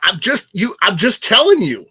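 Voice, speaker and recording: A man co-hosting a casual chat show, speaking informally in a halting but emphatic way. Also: high voice, very animated, a crowd in the background. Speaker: show co-host.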